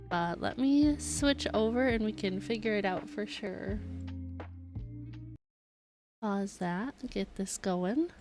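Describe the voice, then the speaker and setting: A woman with a fairly high voice hosts a casual gaming livestream. She talks in a chatty, informal way, as if catching up with her viewers. Soft music plays behind her. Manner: chatty, informal, laughs easily. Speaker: livestream host. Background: background music.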